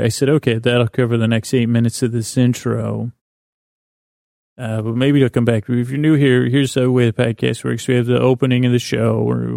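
A man with a gravelly, creaky voice, recorded close and dry. He hosts a sleep podcast in a meandering, halting monologue. His delivery is lulling and self-deprecating, with a narrow pitch range. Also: dark tone, no echo. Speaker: podcast host. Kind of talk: rambling bedtime-story podcast monologue.

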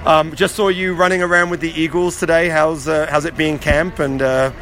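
A man interviewing a rugby player in a casual way, halting with frequent ums. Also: on the high side, level tone, fairly bright tone, slight background noise.

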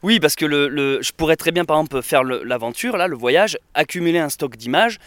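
Man with a smooth, slightly high voice. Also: animated, brisk, fairly bright tone, no room sound. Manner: rambling, many fillers and restarts, laughs now and then. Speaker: interviewee, traveler and videographer. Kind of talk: casual interview chat.

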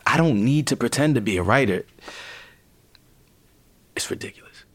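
A man's voice: medium pitch, expressive, moderate pace, no room sound, close to an everyday voice. An actor playing a wry, self-deprecating former businessman struggling as a writer, in a naturalistic audio drama. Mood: dejected, defensive. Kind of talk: naturalistic audio fiction acting.

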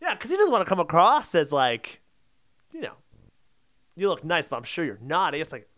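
A man's voice: on the high side, huge pitch swings, moderate pace, fairly bright tone. A man co-hosting a podcast, arguing insistently in a casual, comedic debate. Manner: insistent, argumentative. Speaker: podcast co-host.

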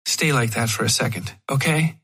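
A man voicing a caring, professional woman in an audio horror story, speaking with measured, placating concern. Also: medium pitch, pushed and bright, husky. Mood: probing, careful.

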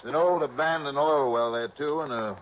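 An actor playing a folksy, drawling small-town police captain in a 1960s radio drama. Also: male voice, medium pitch, fairly quick, close to an everyday voice. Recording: dull old radio broadcast.

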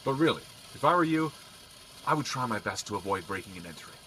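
A man's voice: fairly low pitch, fast, slight background noise. An actor playing a wry male police officer who teases and jokes dryly. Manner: dry joking, lively. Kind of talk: audio drama acting.

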